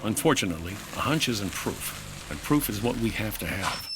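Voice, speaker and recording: An actor playing a dry, unflappable hard-boiled insurance investigator in clipped, deadpan 1950s radio detective style. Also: male voice, on the low side, moderate pace, background noise. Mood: dry, matter-of-fact. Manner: terse, deadpan.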